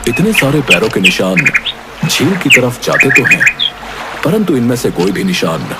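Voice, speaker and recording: A man voicing a wise old monkey chief in an animated fable, speaking gravely and formally in deliberate phrases. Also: medium pitch. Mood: wary, pondering. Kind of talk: Hindi animated fable dialogue. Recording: loud music behind the voice.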